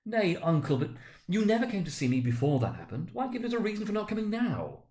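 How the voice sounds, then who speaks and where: A man voicing a cheerful, warm-hearted young nephew, speaking with earnest, pleading feeling in a dramatic storybook reading. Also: high-pitched, sing-song, fast, voice pushed up. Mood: hurt but hopeful.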